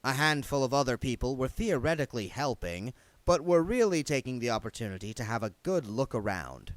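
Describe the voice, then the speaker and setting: A man's voice: medium pitch, very animated, brisk, no echo. A man reading a novel aloud as an amateur narrator, with dramatic storytelling delivery. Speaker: amateur book reader. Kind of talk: scripted audiobook narration.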